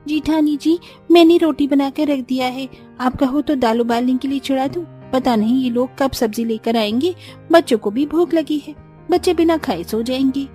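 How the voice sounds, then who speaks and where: A woman's voice: sits high, normal speed, dark tone, faint music behind, lightly acted. A woman voicing a thrifty, scolding village housewife in cartoon dialogue, reproachful and practical. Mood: worried.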